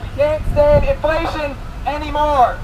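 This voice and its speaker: High-pitched American man exhorting through a bullhorn. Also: level tone, a little slow. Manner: impassioned, exhorting, sloganeering. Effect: bullhorn amplification. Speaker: political activist campaigning for a candidate. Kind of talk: impromptu street speech through a bullhorn. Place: outdoors at a road intersection. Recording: noisy outdoor roadside, traffic noise.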